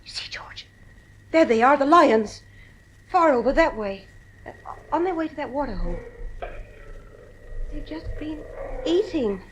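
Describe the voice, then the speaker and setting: A woman's voice: high voice, lively intonation, a little slow, long pauses, slight background noise, low-quality recording. Woman playing an anxious, fretful wife. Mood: frightened. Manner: earnest, fretful. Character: anxious, unnerved wife and mother in futuristic household. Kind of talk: audio drama acting.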